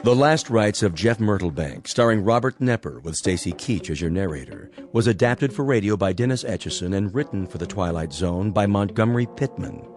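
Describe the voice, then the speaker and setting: Male American radio announcer for scripted credits, lowish voice, soft music bed. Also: animated, brisk, hardly any pauses.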